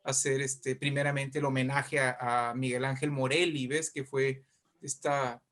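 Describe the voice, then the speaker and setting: A man talking casually as an invited guest in an interview, talkative and halting, often ending phrases with short tag questions. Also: medium pitch, level tone, fairly bright tone. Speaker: invited guest, writer and poet.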